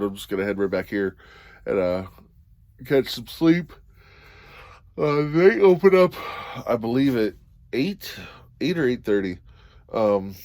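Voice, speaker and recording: A man with a fairly low voice talks loosely and unhurriedly, filling pauses with ums. He is recorded inside a van, and the sound is close and dry with no echo. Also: a little mellow. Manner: rambling and easygoing, many ums and you-knows. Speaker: van expediting driver vlogger. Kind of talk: casual talking-head vlog.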